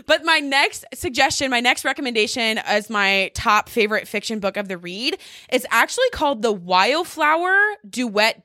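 A woman's voice: fairly high pitch, pushed and bright, dry recording, studio quality. A woman talking casually and emphatically in a gushing, conversational monologue, like a vlogger sharing her favorites. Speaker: vlogger recommending favorite books. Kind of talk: casual chatty storytime monologue to camera.

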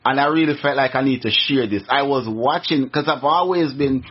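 A man's voice: mid-range voice, moderate pace, husky. A middle-aged man from Jamaica with a Jamaican accent, a radio guest telling his story earnestly, with many restarts. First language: Jamaican Patois. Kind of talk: casual interview, testimony. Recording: muffled radio recording.

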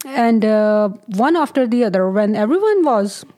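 A woman from Pakistan sits as a guest in a studio interview. Her voice sits a little high, and she talks her way through her story hesitantly, filling pauses and laughing often. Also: lively intonation, dark tone. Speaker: guest physician. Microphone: studio mic.

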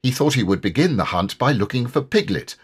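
A man's voice: mid-range voice, animated, a little fast, breathy edge. A man narrating a children's story expressively, as an audiobook reader.

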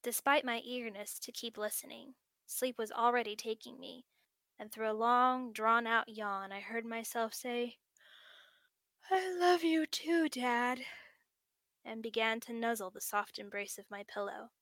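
A woman's voice: a little high, flat delivery, normal speed, sharp tone, no echo, studio quality. A woman co-hosting a fiction podcast, narrating a story and then chatting about it in an earnest, thoughtful way. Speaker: podcast co-host and story reader. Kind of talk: story narration and casual chat.

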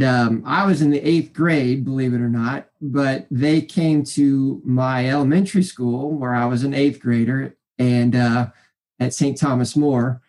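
A man in his forties with a naturally high voice, rambling cheerfully through stories as an interview guest, full of fillers and restarts. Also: darkish tone, poor audio. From Kansas City area, US. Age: 40s. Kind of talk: casual interview storytelling.

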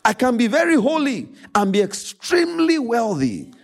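A man with a Nigerian accent, a native Yoruba speaker, preaching a sermon as a pastor, emphatic, repeating phrases and pausing dramatically. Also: extremely high pitch, wildly swooping pitch, creaky.

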